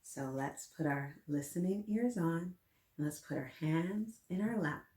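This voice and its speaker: Female children's story host, slightly low register, read-aloud with chatty, encouraging asides; echoing room recording. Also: lively intonation, measured pace, dark tone. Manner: encouraging, addresses listeners directly with simple questions. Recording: roomy, echoing room sound.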